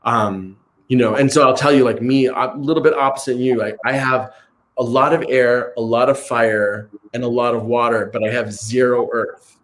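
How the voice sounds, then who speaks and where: A man born in Chicago whose first language was Italian, a guest who teaches meditation, talking in a relaxed way full of "like" fillers. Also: medium pitch, moderate pace. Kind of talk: casual conversational interview.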